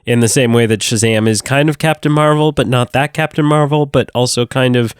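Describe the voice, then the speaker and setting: A sick, nasal-sounding man hosts a casual film review podcast, lively and quick to curse or laugh. His voice sits at an ordinary middle pitch. The recording is dry and close to the mic. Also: clear, resonant tone, dry recording. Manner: animated, swearing, laughing often. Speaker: podcast host. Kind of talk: casual movie review chat.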